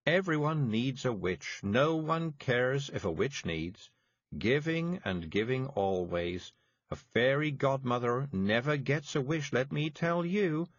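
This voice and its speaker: Man doing a drowsy, fading middle-aged witch: slow, faint, dreamy. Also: medium pitch, animated, no echo, highs cut off, voice pushed up. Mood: dreamy, weary. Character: dazed middle-aged woman witch, weary.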